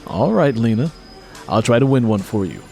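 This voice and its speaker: A man's voice: medium pitch, very animated, normal speed, creaky, warm tone, faint music behind. A man voicing an easygoing, teasing husband and father in an audiobook story.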